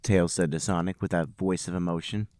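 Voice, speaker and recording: A man's voice: low-pitched, steady tone, fairly quick, a little mellow, no room sound, clean studio sound. A man hosting a podcast, giving a comic dramatic reading of amateur fiction with mock-serious storytelling. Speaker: podcast host and reader.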